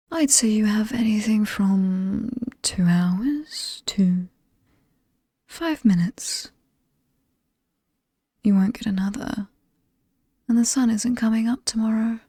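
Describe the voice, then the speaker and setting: A British woman playing an alluring, sinister demon mistress of a haunted house in a horror ASMR roleplay, breathy and often whispering, with a taunting, teasing manner. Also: a little high, mellow, dry recording, clean studio sound. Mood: menacing, playful.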